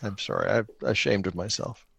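A man's voice: on the low side, huge pitch swings, brisk, vocal fry, fairly bright tone, slightly rough, no echo. A man co-running an improvised tabletop game, narrating casually and thinking aloud in a joking way. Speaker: co-player running a solo-engine tabletop game.

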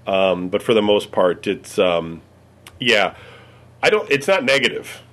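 A man's voice: on the low side, expressive, a little slow, a bit bright. An American man answering questions in a casual chat, rambling with many fillers and swearing freely. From United States. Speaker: interviewee.

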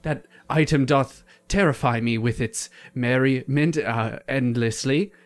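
A man's voice: medium pitch, a little slow, faint music behind, close to an everyday voice. A man playing a tipsy, loyal squire who speaks in flowery, old-fashioned phrases with hesitant fillers. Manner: flowery mock-olde speech with hesitant fillers. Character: tipsy, loyal young male squire with archaic speech. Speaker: improv comedian.

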